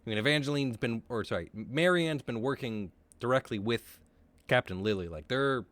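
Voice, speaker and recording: A man's voice: medium pitch, animated, normal speed, fairly bright tone, dry recording, natural voice. A man voicing a foul-mouthed female smuggling boss in a tabletop game, pushy and brash. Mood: brash scheming. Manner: swears often, brash and pushy.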